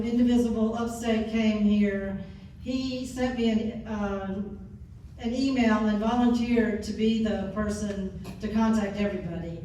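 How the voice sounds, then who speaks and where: A woman's voice: a little high, background noise. Woman hosting a meeting in an echoey room. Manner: hesitant, with many fillers. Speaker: meeting organizer. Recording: echoey room, distant mic.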